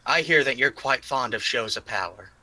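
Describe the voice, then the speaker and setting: A man's voice: medium pitch, lively intonation, normal speed, pushed and bright, no room sound, rough recording. A man playing a cocky half-orc adventurer who speaks in formal challenges in a tabletop game. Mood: cool challenge. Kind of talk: tabletop roleplay dialogue.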